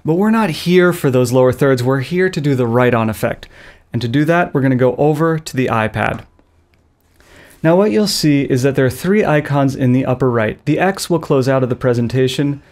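A man in his thirties hosting a loose tech tutorial from a small studio, speaking close into a boom-arm microphone with playful, goofy asides. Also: expressive, normal speed, darkish tone. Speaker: video tutorial host. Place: small studio with a blue backdrop. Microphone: studio mic on a boom arm, close.

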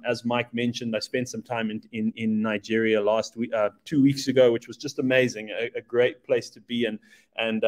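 A man's voice: mid-range voice, a little mellow. A man from Zimbabwe, a team lead giving a casual business presentation over a video call, chatty and self-deprecating, with many fillers.

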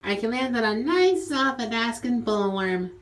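A man voicing an excitable young male cartoon sea sponge in an amateur puppet parody, exclamatory and whiny. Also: extremely high pitch, normal speed. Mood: panicked, excited. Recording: noisy homemade recording.

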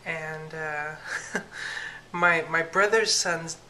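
A young woman chats into a camera she holds at arm's length in her home at night, giggling often and filling her talk with "like" and "you know." Her voice is bright, with a faint hum of room noise behind it. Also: sits low, fairly even intonation, very slow, poor audio. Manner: chatty and giggly, lots of fillers. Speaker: vlogger. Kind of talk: casual chat to camera. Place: home kitchen at night, then living room. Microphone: camera held at arm's length.

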